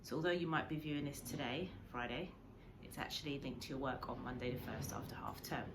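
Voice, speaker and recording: A woman's voice: extremely low pitch, brisk, noisy background. A woman, a primary school teacher, talking informally to her pupils for a filmed story time, addressing them directly. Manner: addresses children directly with questions.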